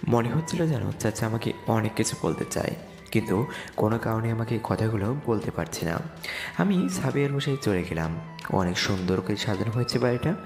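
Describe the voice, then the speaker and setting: A man's voice: lowish voice, lively intonation, brisk. A young man narrating a dramatised story in the first person. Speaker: narrator and lead voice actor. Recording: echoey reverb with music behind.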